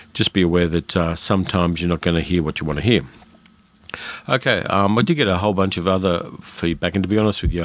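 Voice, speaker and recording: Deep-voiced Australian man hosting a podcast. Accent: educated Australian. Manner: frank, chatty, with fillers and restarts. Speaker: podcast host. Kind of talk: casual solo podcast monologue.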